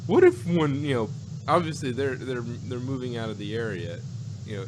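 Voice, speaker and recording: A man's voice: mid-range voice, big pitch swings. Rambling man in noisy recording. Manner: rambling, halting, acts out imagined reactions. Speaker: podcast co-host reviewing audio dramas. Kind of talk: casual unscripted review chat.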